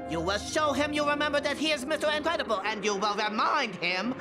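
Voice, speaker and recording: A tiny, imperious woman designer from a cartoon game. The voice is high and lively, bossy and dismissive, with dramatic flourishes and music underneath. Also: fairly quick. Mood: scolding. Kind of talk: animated film-style game dialogue.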